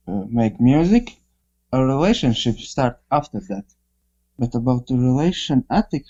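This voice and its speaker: A man tells the story in halting, matter-of-fact English. His voice is mellow, and the call sounds muffled. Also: mid-range voice. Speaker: composer and bassist guest.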